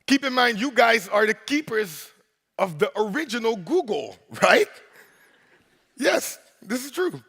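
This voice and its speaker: A man hosting the opening of a congress on stage, with a very deep voice, talking in a playful, rhythmic way and drawing in the crowd. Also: big pitch swings, unhurried, pushed and bright, breathy edge. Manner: playful, rhythmic, crowd-engaging with repeated "right?". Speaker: host and MC. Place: large conference hall. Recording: long hall reverb.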